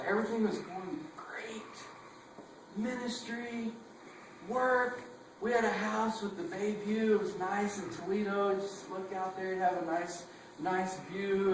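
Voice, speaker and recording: Texan male missionary preacher, chatty storyteller full of fillers and jokes, fairly high voice, distant mic. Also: a little hiss or hum. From Texas. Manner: casual storytelling with fillers and self-deprecating humor. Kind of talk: sermon with a sung opening. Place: church sanctuary. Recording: echoey church room from far off.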